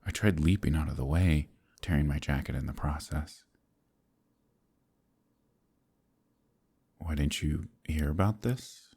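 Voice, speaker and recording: A man acting a courteous, brooding werewolf in human form, with a gravelly, husky voice and halting, old-fashioned speech in a dramatic ASMR roleplay. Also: very deep voice, darkish tone, exaggerated voice. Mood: nervous, evasive. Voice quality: gravelly, husky, breathy at times. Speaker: voice actor in an audio roleplay.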